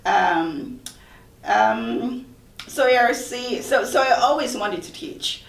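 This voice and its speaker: A woman's voice: a little high, lively intonation, slow pace, vocal fry. Woman speaking from far in a roomy space. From Thailand. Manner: earnest, reflective, with repeated restarts. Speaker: college professor. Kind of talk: panel discussion. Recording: roomy echo, far from mic.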